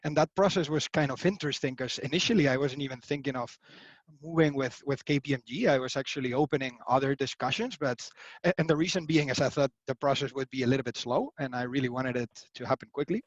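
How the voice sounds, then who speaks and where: A man's voice: medium pitch, fast talker, no room sound, highs cut off. A man in his thirties from Spain, chatting as an interview guest over a video call with lots of fillers and restarts. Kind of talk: casual interview answers.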